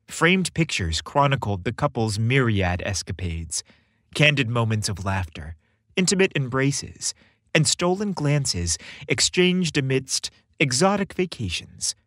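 A man's voice: medium pitch, a bit bright, no echo. A man hosting a story podcast, reading scripted narration with a playful, theatrical delivery, close on the microphone.

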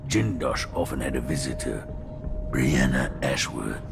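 An older man playing a weary, haunted elderly scientist in a video game, gravelly, grave and halting. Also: rumbling low, background noise, exaggerated voice. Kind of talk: video game cutscene acting.

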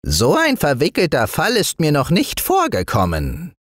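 A crafty fox minister, slick and scheming, speaking in a raised, sing-song voice, which a male storyteller puts on. He talks slowly with big swings in pitch, recorded close and dry for a bedtime fairy tale. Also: wildly swooping pitch, a bit bright, no room sound, heavily acted. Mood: pondering. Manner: cunning and smooth, raised and theatrical.

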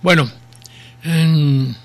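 A man's voice: fairly high pitch, noisy background. An older man with a Mexican accent hosting a radio show, reading listener messages in a rambling, folksy way, with a sore throat. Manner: rambling, folksy, slow and unhurried. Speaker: radio host. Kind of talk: casual on-air commentary reading messages. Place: home study with bookshelves.

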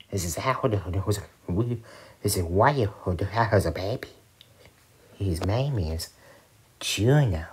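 An excitable cartoon character from a homemade skit, acted out by a woman. The voice is husky and slow. It stumbles and repeats itself, yet its pitch moves around in an animated way. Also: full character voice. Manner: halting, stumbling delivery with repeated words.

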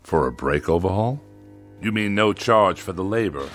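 A man's voice: deep voice, animated, moderate pace, a bit bright. An actor in a radio drama playing a pompous, irascible older man with haughty, florid diction. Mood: condescending. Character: pompous, irascible older man who despises machines and people. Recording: radio drama with music behind.

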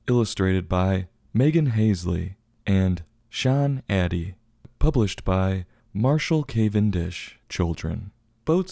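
Low-voiced man reading a tongue-in-cheek review. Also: vocal fry, mellow, no room sound, clean studio sound. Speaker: book review show host. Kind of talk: scripted review narration.

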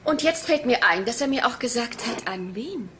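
A woman's voice: fairly high pitch, lively intonation, moderate pace, sharp tone, slight background noise. An actress playing a naive, chatty woman angling for a reward in a German crime radio play, rambling and ingenuous. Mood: excited, speculating.

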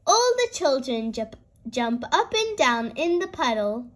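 A woman reading a picture book aloud for young children in an animated, deliberate storytelling manner. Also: high-pitched.

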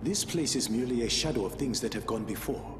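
An actor playing a noble, earnest samurai in an animated game, speaking in measured, formal phrases. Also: male voice, medium pitch, echoey room, big-room sound, noisy background, close to an everyday voice. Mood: solemn. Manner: measured, solemn, formal diction. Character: noble, earnest samurai man, calm and resolute. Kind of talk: dramatic animated game voice acting. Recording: music behind the voice.

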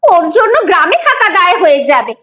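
Woman as a shrill, bright, scolding village mother. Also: very animated, fairly quick, heavily acted. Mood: exasperated. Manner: scolding, sarcastic. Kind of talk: children's cartoon dialogue.

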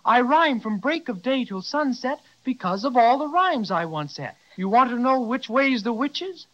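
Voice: medium pitch, very animated, normal speed, close to an everyday voice. An actor playing a fussy, eager rabbit who talks in theatrical sing-song rhyme, in 1930s radio style. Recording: dull old 1930s radio recording.

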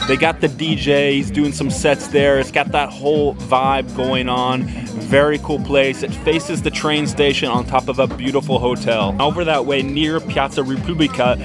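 A man's voice: medium pitch, fairly even intonation, normal speed. An enthusiastic, emphatic man in his forties presenting an upbeat travel vlog to a handheld camera outdoors. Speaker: travel vlogger. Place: outdoors, city streets and an open rooftop terrace. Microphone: handheld camera at arm's length. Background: music.

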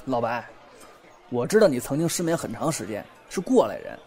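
A man's voice: medium pitch, sing-song, brisk, darkish tone, some chatter behind, slight background noise. A young man acting in an audio drama as an anxious, sleepless bookshop owner who hesitates and rambles as he confides in a friend. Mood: troubled, confessional. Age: young adult.

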